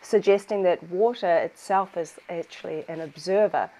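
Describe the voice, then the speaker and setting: A woman's voice: lowish voice, moderate pace, warm tone, poor audio. A woman with a New Zealand accent, a guest researcher on a video call, explaining her work fluently and with enthusiasm. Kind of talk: conversational explanation.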